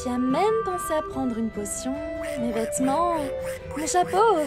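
Woman voicing excitable, high-pitched young witch girl. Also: unhurried, over loud music. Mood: musing, tender. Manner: expressive, exclamatory, talks to herself. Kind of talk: animated cartoon dubbing.

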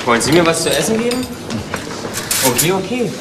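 An actor playing a young homeless petty crook, defensive and evasive, halting and repeating himself in a crime radio play. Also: male voice, on the high side, big pitch swings. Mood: defiant, hungry. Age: young adult. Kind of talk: German radio crime drama acting. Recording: noisy retro radio drama recording.

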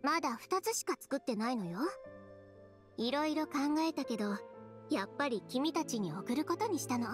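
A blunt, self-assured young female fashion designer in an anime game. Her pitch sits on the high side, and her delivery is confident, dry and a little teasing. Soft music runs underneath. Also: lively intonation, normal speed. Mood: calm, composed. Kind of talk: anime video game dialogue.

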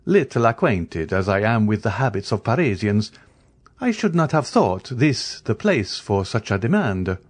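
A man reading the part of a calm, commanding count who speaks with cool dignity. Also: fairly low pitch. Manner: measured, lofty, quietly authoritative.

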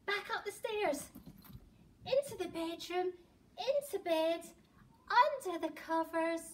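A woman in her thirties reading a picture book to young children in an expressive, exaggerated way with drawn-out words. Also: extremely high pitch, slight background noise. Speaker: children's storyteller, early years educator. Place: indoor nursery classroom. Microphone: camera or phone a few metres away.

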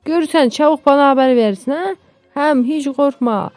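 Woman acting out a brash, high-voiced peasant father. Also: moderate pace, a little mellow, no echo, faint music behind, close to an everyday voice. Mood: teasing, bossy. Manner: rustic, blunt, calling out. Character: brash, teasing peasant father, hearty and bossy.

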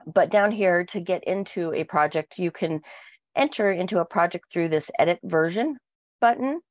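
Nasal low-voiced woman with a cold. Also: moderate pace, no room sound, dull audio. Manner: steady explaining with frequent fillers. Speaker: product trainer. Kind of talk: software walkthrough.

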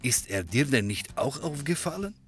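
An actor playing a friendly European barkeeper in a comedy audio drama, easygoing and confiding in manner. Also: male voice, medium pitch, big pitch swings, normal speed, faint music behind, close to an everyday voice. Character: friendly European barkeeper, helpful male pub owner.

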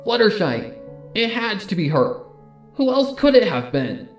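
A man's voice: sits high, big pitch swings, a little slow, reverberant, pitched above the performer's own voice. A man voicing a brash, desperate young female pegasus pony from a story, impassioned and insistent. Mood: frantic, angry. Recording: music behind the voice.

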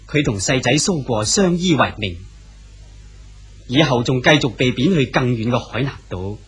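A man's voice: mid-range voice, breathy edge. A man with a Cantonese accent giving scripted historical narration.

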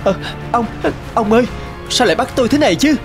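An actor playing a poor, humble young suitor in an animated folk tale, respectful and earnest. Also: male voice, very high-pitched, fast, music in the background. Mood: alarmed. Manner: respectful, pleading, gentle.

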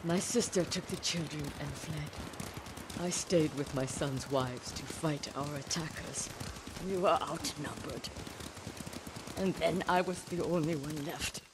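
A woman's voice: deep voice, slow, background noise. An actress playing an older samurai widow in a video game, grave, clipped and resolute in her grief and vengefulness. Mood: stern, bitter. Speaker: game character. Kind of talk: dramatic video game acting. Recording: music behind the voice.

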